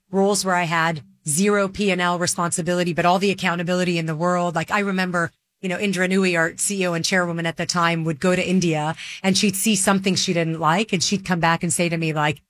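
A woman's voice: fairly low pitch, a bit bright. A middle-aged woman from Dubai in the Middle East, answering interview questions in a chatty way, with lots of "like" and "you know". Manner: chatty, quick, with many fillers like "like" and "you know". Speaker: guest, marketing executive.

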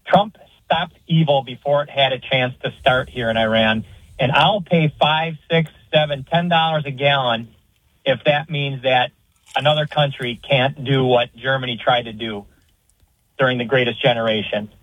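A man's voice: mid-range voice, fairly even intonation, normal speed, no room sound. Emphatic male caller, dull phone line. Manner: earnest, emphatic. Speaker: radio show caller. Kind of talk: opinionated phone-in comment.

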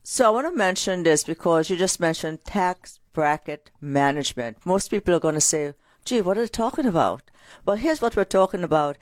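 Lower-voiced woman co-hosting a finance show. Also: brisk, no echo. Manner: energetic, storytelling with client anecdotes. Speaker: radio co-host, financial advisor. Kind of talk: casual radio finance talk.

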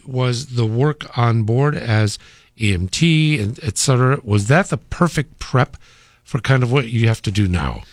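Host: mid-pitched man, warmly effusive, formal phrasing, dry studio sound. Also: dry recording. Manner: effusive, formal word choice. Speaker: radio host. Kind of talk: radio interview hosting. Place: radio studio.

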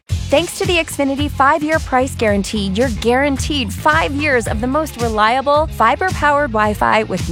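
A woman reads a scripted ad in a calm, reassuring voice. Her pitch is slightly high for a woman, and music plays clearly behind her. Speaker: ad announcer. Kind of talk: smooth scripted ad read.

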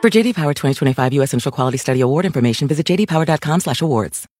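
A smooth male announcer reads a car commercial. He talks fast. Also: on the high side, animated, loud music behind the voice. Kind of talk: car ad read.

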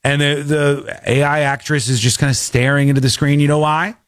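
A man's voice: a little high, flat delivery, fairly quick, no echo, clean studio sound. A man hosting a live stream, making sarcastic, mocking commentary on video clips. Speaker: streamer host reacting to clips.

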